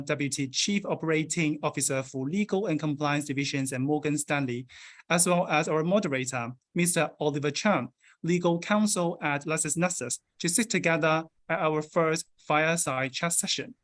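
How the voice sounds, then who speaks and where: A man's voice: fairly high pitch, fairly bright tone. A man hosting an awards ceremony as emcee, formally reading out names and job titles and introducing a panel. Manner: polished, announcing names and titles. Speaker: event emcee.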